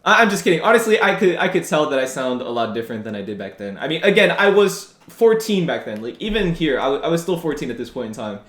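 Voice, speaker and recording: Young male YouTuber, 19, lively high-ish voice, rambling casual chat, echoey room. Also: quick pace, few pauses. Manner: rambling, candid, lots of fillers and restarts. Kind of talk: casual vlog commentary. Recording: roomy echo.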